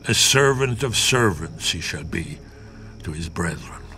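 Aged patriarch: deep, sharp-edged, slow curses. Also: male voice, lively intonation, heavily acted. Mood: stern. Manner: slow, stern, declamatory. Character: Noah, aged patriarch pronouncing curse and blessing. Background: soft music.

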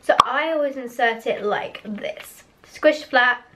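Hoarse teen girl, fairly high voice, chatty and candid, bedroom webcam vlog. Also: slow, heavy background noise. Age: teens. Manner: chatty, candid, a little giggly. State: hoarse, voice cracking after a cough. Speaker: vlogger reviewing products. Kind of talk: casual chat to camera. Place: small bedroom with posters on the wall. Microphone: webcam in front of her face.

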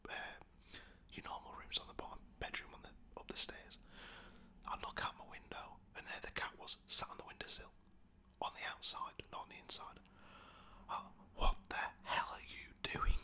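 Man, soft whisper, rambling chatty storytelling, very bright tone. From Lancaster, England. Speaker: dog walker.

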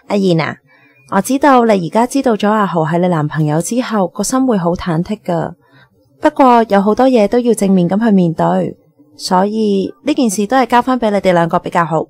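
Actress as mellow, caring young Hong Kong woman. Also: medium pitch, animated, moderate pace, creaky, dry recording. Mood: gentle, reassuring. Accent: Hong Kong Cantonese. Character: caring young woman, gentle loyal best friend. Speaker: radio drama actor. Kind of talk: radio drama acting.

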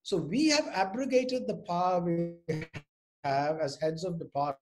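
An older man with a somewhat high voice speaks as a panelist on a video call. His delivery is formal and measured, with carefully articulated points. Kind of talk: panel discussion remarks. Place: indoors at home, on a group video call.

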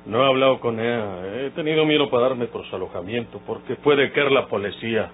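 A man's voice: mid-range voice, fairly quick, a little hiss or hum, close to an everyday voice. An actor playing a proud, wary outlaw father with a rural Mexican accent in an old radio soap. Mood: wary, resigned. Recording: muffled, humming old radio recording.

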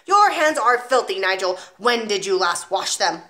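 A woman's voice: medium pitch, animated, moderate pace. A woman reading aloud, voicing a huge, tyrannical headmistress who barks and bellows at children. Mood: scornful. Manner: barking, loud, contemptuous.

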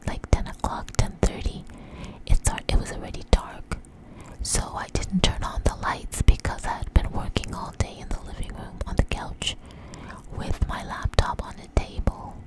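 A woman speaks in a breathy whisper, right up close to the microphone, as an ASMR host. She tells a personal story without hurry, pausing and repeating phrases as she goes. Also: rough recording. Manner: unhurried, halting, repeats phrases.

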